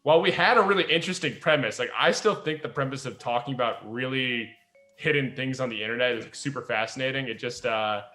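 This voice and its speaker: A man chatting casually as a podcast host, telling stories in an animated way. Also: medium pitch, quick pace, soft background music. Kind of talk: casual talkback podcast chat.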